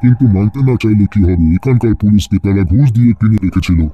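A man voicing an old male servant, gravely telling an eerie tale in a cartoon horror story. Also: lowish voice, quick pace, warm tone, close to an everyday voice. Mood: grave, ominous. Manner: grave, storytelling, Hindi-mixed Bengali wording.

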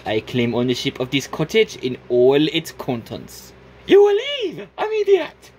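A man's voice: mid-range voice, huge pitch swings, unhurried, darkish tone, a little hiss or hum. A man voicing a snooty, bossy male rat who makes demands in a haughty tone.

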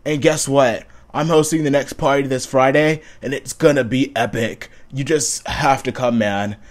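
A man playing a loud, excitable young frat bro who draws out slangy words. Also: mid-range voice, close to an everyday voice. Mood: excited. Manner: laid-back slangy drawn-out words.

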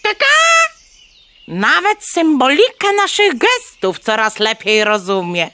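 A woman voicing a bossy, excitable mother hen in a children's audio play, clucking between words and scolding dramatically. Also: a little high, slow, noisy background. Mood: lecturing, haughty.

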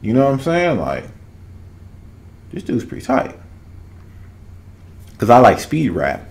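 A man's voice: medium pitch, huge pitch swings, slow, a little mellow. A man in his thirties from Arkansas with a Southern US accent, giving casual, emphatic commentary as a reaction video host and repeating phrases for weight. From Arkansas, United States. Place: small home room with cloth-draped backdrop. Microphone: desk condenser microphone, headphones on.

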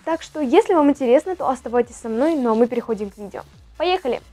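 A teenage girl vlogs from her bedroom in a lively, chatty way, talking with her hands. Her voice sits high and mellow, picked up on a clip-on mic, with soft music underneath. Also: fast talker. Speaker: vlogger. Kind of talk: upbeat vlog address. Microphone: lapel mic.

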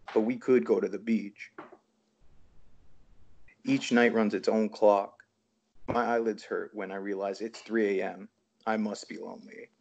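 A man's voice: fairly low pitch, vocal fry, a little mellow. A man from Toronto, a poet, reading aloud over a dull, lo-fi video call. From Toronto, Canada. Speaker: poet reading own work. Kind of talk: poetry reading.

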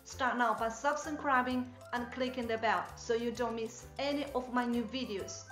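A woman's voice: a little high, normal speed, fairly bright tone, faint music behind. A woman in her thirties with a Chinese accent, presenting a factory walkthrough in careful, slightly non-native English. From China. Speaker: channel host, mold company representative. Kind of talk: scripted factory walkthrough narration. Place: indoors, plain bright backdrop. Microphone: front-facing camera, medium close framing.

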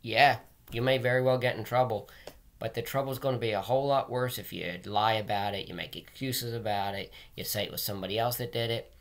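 A man's voice: mid-range voice, fairly even intonation, fairly quick. A middle-aged man, a teacher talking to children in a plain, earnest, emphatic way, recorded on a webcam in a small room. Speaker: teacher and school administrator reading aloud to pupils. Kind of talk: read-aloud and plain advice to children. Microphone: webcam at desk distance.

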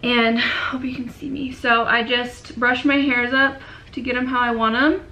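A young woman in her twenties with a cold, chatting casually through a makeup tutorial in a dim bedroom, often trailing off into quiet murmurs. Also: on the high side, level tone, measured pace, poor audio. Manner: chatty, filler-heavy, drifts into quiet murmurs while working. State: sick and sniffly. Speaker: beauty vlogger.

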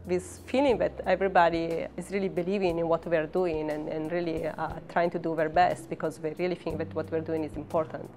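Italian lady professor talking about her work, low voice, kinda hesitant, faint music behind her. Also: level tone, brisk, few pauses, a little mellow. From Italy. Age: 30s. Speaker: professor and research scientist. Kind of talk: interview talk about own work.

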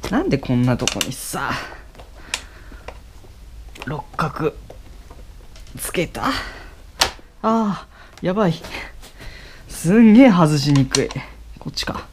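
A young man talking casually as he works on his motorcycle in a cluttered garage, filmed with a head-mounted camera. He talks haltingly with many fillers and mutters to himself mid-task. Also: fairly high pitch, noisy background. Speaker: motovlogger. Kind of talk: casual how-to commentary while working. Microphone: head-mounted action camera.

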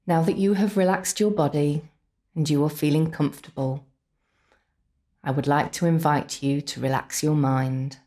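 A woman narrating a scripted guided visualisation in an unhurried, soothing way, with deliberate pauses. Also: low-pitched, steady tone, dark tone. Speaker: complementary therapist leading guided relaxation.

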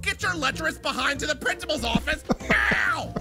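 A man voicing an exasperated, scolding middle school or high school teacher in a comedy cartoon game. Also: lively intonation, moderate pace, music in the background. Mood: outraged. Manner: scolding, theatrical. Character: exasperated mustached male high school teacher, easily smitten. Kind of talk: animated comedy game acting.

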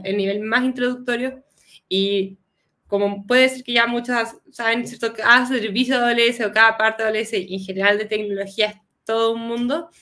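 A woman's voice: mid-range voice, a bit bright. A young woman with a Chilean accent, presenting a tech lesson on a video call, casual and chatty, with a cold. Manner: casual, often adds "¿cierto?" as a tag. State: has a cold, coughs. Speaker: guest speaker. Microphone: webcam video call.

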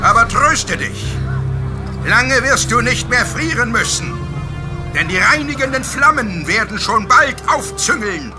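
Sanctimonious witch hunter, very high-pitched, declamatory, triumphant, horror radio drama, loud music. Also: big pitch swings, measured pace, exaggerated voice. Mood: gloating. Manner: declamatory, pompous. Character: ruthless self-righteous male witch hunter, sanctimonious and triumphant. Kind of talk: German horror radio play acting.

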